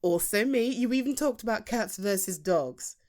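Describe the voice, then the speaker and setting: A British woman playing a teasing, self-deprecating best friend in her late thirties in a chatty, halting ASMR roleplay monologue. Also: mid-range voice, expressive, a little mellow, natural voice. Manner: halting, chatty, with sighs and chuckles. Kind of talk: ASMR roleplay acting, intimate conversational monologue.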